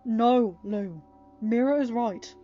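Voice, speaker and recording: A woman's voice: a little high, takes it slowly. With faint music behind, a woman plays a stern young storybook man in a slightly dull recording. The delivery is firm and lecturing, protective of family and insistent. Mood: firm, reasoning.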